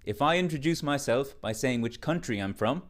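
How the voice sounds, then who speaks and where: A man's voice: mid-range voice, animated, moderate pace. Emphatic man teaching. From Ireland. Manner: emphatic, lecturing, mock-indignant. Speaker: English teacher. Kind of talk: direct-to-camera short lesson.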